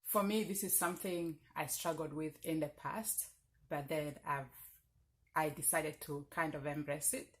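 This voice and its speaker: A woman with a strong Ugandan Lugbara accent speaks in a low, deep register. She talks in an earnest, reflective way, often pausing on fillers as she thinks aloud. Her tone is casual and personal, as if addressing friends. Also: fairly even intonation. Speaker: vlogger. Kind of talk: casual personal talk to camera.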